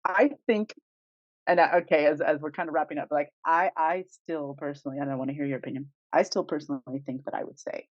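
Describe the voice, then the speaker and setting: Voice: medium pitch, quick pace, no echo. Muffled, dry podcast co-host speaking emphatically. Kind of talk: casual podcast discussion. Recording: dull, lo-fi dry recording.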